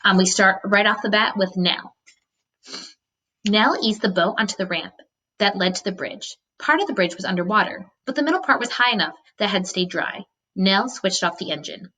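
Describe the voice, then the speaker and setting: A woman with a mid-pitched voice reads a story aloud as a teacher would. She narrates expressively and adds instructive asides for her listeners. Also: normal speed, pushed and bright.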